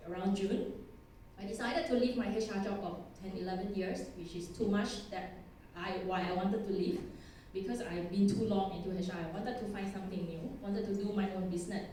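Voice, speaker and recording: A woman in her thirties with a Thai accent gives a chatty conference talk in a big echoing hall. She sounds a little far from the mic, with soft room noise behind her. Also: mid-range voice, steady tone, normal speed. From Thailand. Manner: chatty, self-deprecating, laughs often. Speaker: conference speaker. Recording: echoey hall, distant mic.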